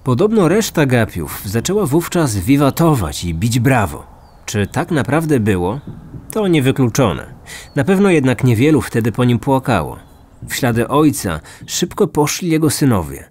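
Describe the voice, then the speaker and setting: A man's voice: mid-range voice, big pitch swings, moderate pace, darkish tone. A man in his thirties hosting a true crime podcast with scripted narration. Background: music.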